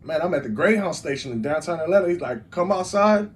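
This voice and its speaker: A young man with a Chicago accent, a rapper and engineer, telling stories in a rambling, animated way as an interview guest. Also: on the high side, fast talker. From Chicago, USA. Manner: rambling, animated storytelling with lots of "like". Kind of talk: casual storytelling interview.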